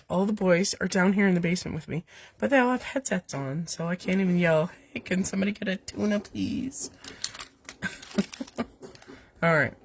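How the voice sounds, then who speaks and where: A woman's voice: lowish voice, vocal fry, breathy edge. A woman with a cold hosting a live card-making stream from her home basement, giving a chatty, rambling step-by-step demonstration. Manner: chatty, rambling, with fillers and asides to her cat. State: has a cold, voice weak and coming and going. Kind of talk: casual step-by-step craft demonstration.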